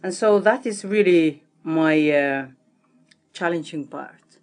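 Slow-speaking woman translator. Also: deep voice, a little mellow. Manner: slow, searching for words. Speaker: panelist, translator.

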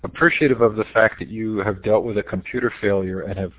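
A slightly hoarse man with a lowish voice hosts an online education webinar, running through announcements in an upbeat way with plenty of fillers. The sound is dry and thin, like a web call. Nothing in the background colors it. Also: fairly even intonation, quick pace, no echo, narrow, tinny audio. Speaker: host. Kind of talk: webinar interview introduction.

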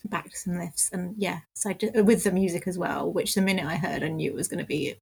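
A woman's voice: on the low side, steady tone, quick pace, vocal fry, a little mellow, slightly rough. A woman chatting casually as a panellist on a podcast. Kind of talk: casual chat.

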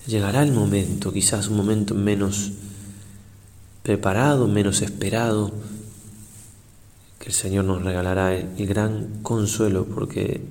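A man, a priest, leading a guided prayer meditation in a solemn, measured, reverent way with long pauses. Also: on the low side, steady tone, darkish tone. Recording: long hall reverb, faint background noise.

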